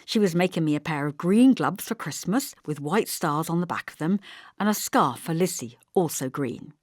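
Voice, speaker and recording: A woman's voice: sits low, animated, normal speed, no room sound, studio quality. A woman reading scripted first-person literary narration as an audiobook narrator.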